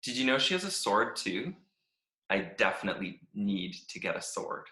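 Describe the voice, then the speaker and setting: A man reading a children's storybook as a cheerful, oblivious talking stick, gushing with naive excitement. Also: fairly low pitch, normal speed, pitched below the performer's own voice. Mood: proud, upbeat. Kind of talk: animated children's storybook read-aloud. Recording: echoey room.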